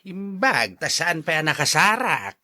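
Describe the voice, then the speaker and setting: A man's voice: fairly high pitch, sing-song, a little slow, sharp tone, heavily acted. An actor playing a blunt, exasperated man who questions a gossip in a radio drama. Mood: concerned.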